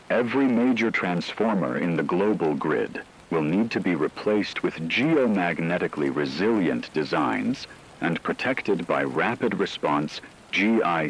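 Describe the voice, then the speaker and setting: A man playing a grave, resolute veteran crisis broadcaster, delivering a solemn, deliberate monologue on emergency radio. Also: sits low, creaky, breathy edge, full character voice, rough recording. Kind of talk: scripted dramatic radio monologue.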